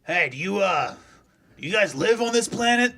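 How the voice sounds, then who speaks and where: A man playing a hesitant soldier in a tabletop game, speaking in a halting, casual way. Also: sits high, animated, sharp tone, slight background noise, voice pushed up, full character voice. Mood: puzzled.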